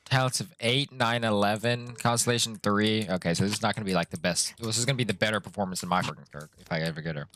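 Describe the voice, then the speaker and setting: A man's voice: on the low side, quick pace, few pauses, a bit bright. A young man chatting casually on a game stream in a slangy, playful way, muttering asides to himself. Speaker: live streamer.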